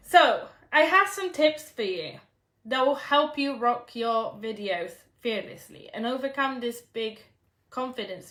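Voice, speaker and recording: Bright Polish-accented woman coaching on a live stream. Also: fairly high pitch, echoey room, far from the mic. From Poland. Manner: encouraging, reads out viewer comments, frequent "right?". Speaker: business coach. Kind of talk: live-stream talk to viewers.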